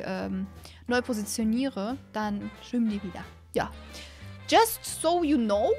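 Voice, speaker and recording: High-voiced young woman chatting over a game. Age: 20s. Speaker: host of a gaming channel. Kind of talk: casual let's-play commentary. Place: small indoor streaming room at home. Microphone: close studio microphone on an arm.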